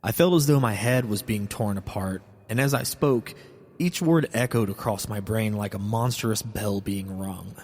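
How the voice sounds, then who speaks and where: A man's voice: lowish voice, moderate pace, a little mellow. A man reading a scripted first-person horror story as a solemn, literary narrator. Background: music.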